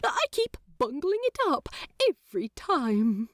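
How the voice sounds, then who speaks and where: A woman voicing a fussy, flustered male angel who speaks hesitantly with many pauses and uhs. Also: high voice, big pitch swings, no room sound, studio quality, voice pushed up, full character voice. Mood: earnest.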